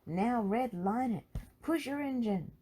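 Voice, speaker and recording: A woman's voice: a little high, animated, slow, dark tone, a little hiss or hum, voice pushed up. A woman voicing a stern, commanding male aircraft who leads a firefighting crew in a children's storybook, speaking tersely. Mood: urgent, commanding.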